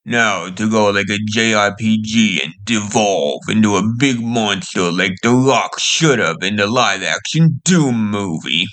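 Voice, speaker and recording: A menacing villain acted by a man from an abridged anime parody. His voice sits fairly low, and he boasts like an arrogant sorcerer champion turned monster. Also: a little slow, hardly any pauses, a bit bright. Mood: grandiose. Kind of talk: fan abridged anime dub.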